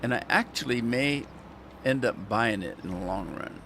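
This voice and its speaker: A man's voice: medium pitch, lively intonation, moderate pace, creaky, a bit bright, a little hiss or hum. Rambling, chatty man gaming. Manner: rambling, chatty, joking asides to viewers. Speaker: gaming channel host. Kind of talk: casual gameplay commentary.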